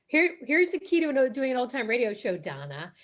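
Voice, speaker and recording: A woman co-hosting a live show with excited, casual banter, gushing and theatrical in manner. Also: high-pitched, a little fast. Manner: theatrical, gushing, sing-song exclamations.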